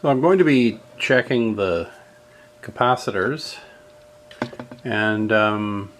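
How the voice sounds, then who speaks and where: A man giving an unscripted hands-on repair tutorial, thinking aloud haltingly as he works. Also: on the low side, a bit bright. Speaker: host of a radio repair video.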